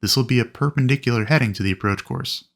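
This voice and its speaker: Creaky-voiced man giving methodical instruction. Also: mid-range voice, fast, fairly bright tone. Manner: clear, methodical explaining. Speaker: flight instructor presenting a training video. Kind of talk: instructional narration with acted radio calls.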